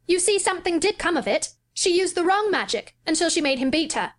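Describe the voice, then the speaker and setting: A woman reading an audiobook, voicing an earnest, solemn young boy who speaks gravely and chants. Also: expressive, brisk. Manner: grave, high-pitched chanting.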